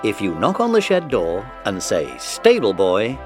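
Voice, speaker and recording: A man's voice: fairly low pitch, wildly swooping pitch, measured pace, music in the background. A man narrating a children's story as the narrator of an audiobook dramatization.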